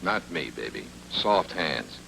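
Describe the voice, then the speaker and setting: An actor playing a tough, sardonic private investigator in a noir radio drama, talking in terse slangy patter. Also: male voice, rumbling low, big pitch swings, slow pace, gravelly, pushed and bright, a little hiss or hum, exaggerated voice, poor audio. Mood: cool, sardonic.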